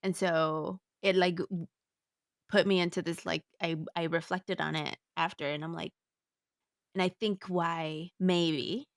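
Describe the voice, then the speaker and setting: A woman interviewer with a slightly low voice, recorded dry with no room sound. She speaks casually and reflectively, rambling a bit as she builds toward her question. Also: steady tone, a little slow, no echo. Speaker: podcast host, interviewer.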